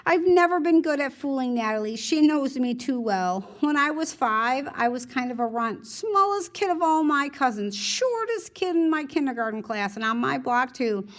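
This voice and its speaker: A woman teacher reading a novel aloud in expressive, conversational first-person narration, like a classroom read-aloud. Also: high voice, moderate pace, few pauses. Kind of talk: read-aloud storytelling with brief teaching asides.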